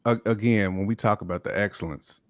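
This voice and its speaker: Male panelist with a lowish voice, casual and emphatic, recorded dry. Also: brisk, no echo. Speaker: panelist on a hip hop discussion show. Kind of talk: casual opinionated debate.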